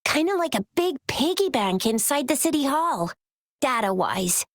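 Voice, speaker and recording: A woman's voice: high-pitched, big pitch swings, normal speed, dry recording, clean studio sound. An actress playing a bold, joking young woman companion in a game cutscene. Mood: breezy. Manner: brash, joking, breezy.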